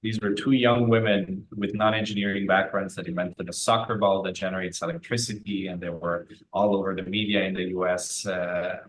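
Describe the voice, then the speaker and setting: A man in his thirties from Italian-speaking Switzerland, telling his story as an interview guest in a measured, articulate way. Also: low-pitched, low-quality recording. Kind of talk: conversational personal storytelling.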